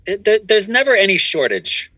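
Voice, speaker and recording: Man, comedian podcast guest, bright forceful voice, dry close sound, casual, animated, repeats and restarts phrases. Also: high voice, moderate pace, dry recording. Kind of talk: casual conversational interview answers.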